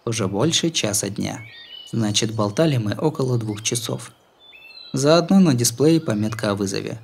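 A man's voice: on the low side, expressive, normal speed, gravelly, darkish tone, slightly rough. A man reading a novel aloud as an audiobook narrator, in a dramatised scripted style. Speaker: audiobook reader.

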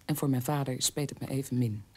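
An actress playing a hardened, unsentimental nurse in a radio drama, speaking coldly and matter-of-factly. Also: female voice, a bass voice, quick pace, warm tone, slightly rough. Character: hardened, unsentimental nurse, a daughter without pity.

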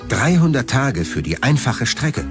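A man's voice: medium pitch, big pitch swings, unhurried, over music. A man narrating a documentary from a script.

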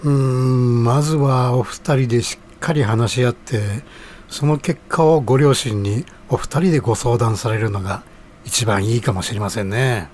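Calm male adviser, played by an actor: polite, reflective, medium register, courteous wording, audio drama with slight noise. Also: medium pitch, natural voice. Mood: calm, reminiscent. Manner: courteous, measured honorific phrasing.

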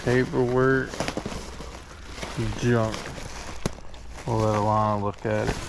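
A man's voice: lowish voice, flat delivery, takes it slowly, vocal fry, background noise. A man with a drawl giving blunt, laid-back commentary while sorting a storage unit. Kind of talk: casual running commentary. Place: inside a cluttered metal storage unit, outdoors nearby. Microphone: head-mounted action camera. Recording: loud crinkling bags and clatter.

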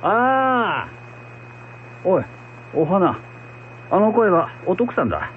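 A man's voice: high-pitched, huge pitch swings, takes it slowly, exaggerated voice. A man playing a plain-spoken village husband in a folktale radio drama. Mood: doubtful. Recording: muffled lo-fi, noisy with hum.

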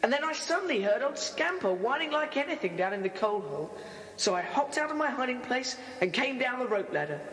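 An excitable British schoolboy in a children's audio drama. The voice is fairly high and very animated, with long reverb around it. He speaks in a breezy, eager way. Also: a little fast.

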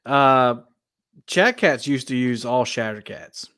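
A man's voice: mid-range voice, expressive, slow, a bit bright. A man hosting a fishing live stream from a room, friendly and often laughing as he chats with guests. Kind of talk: casual chat. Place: room indoors.

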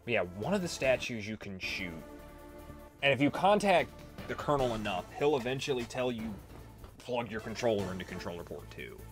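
A man's voice: mid-range voice, lively intonation, brisk. A man giving casual, swear-prone gameplay commentary as the host of a let's play. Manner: profane, exasperated when struggling. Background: video game music.

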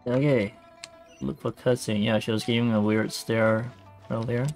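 A man's voice: medium pitch, fairly even intonation, takes it slowly, a little mellow, faint music behind. A man giving casual, laid-back commentary while he plays through a game as a streamer.

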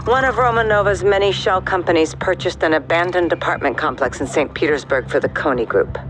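A man playing a brisk, capable intelligence handler briefing soldiers in a video game, crisp and matter-of-fact. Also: a little high, a bit bright, faint music behind, natural voice, rough recording.

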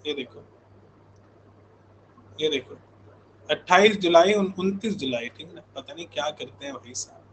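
A man's voice: fairly high pitch, lively intonation, moderate pace, long pauses, a bit bright, a little hiss or hum, poor audio. A man in his thirties from India talking informally as an online coaching teacher, chatting with his live class and often checking in. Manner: informal, conversational, repeats check-in tags. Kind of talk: casual live class chat.

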